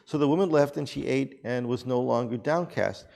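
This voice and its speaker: A man reads a scripture passage aloud for a study class. His voice is gravelly with some fry, and his reading is plain and measured at a middling pitch. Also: a little mellow. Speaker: class participant reading text.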